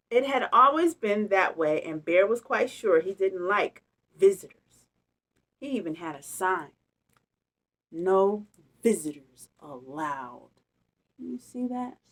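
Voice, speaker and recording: A woman reading a picture book aloud, expressive and playful, with chatty casual asides to children. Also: medium pitch, takes it slowly. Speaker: storytime reader.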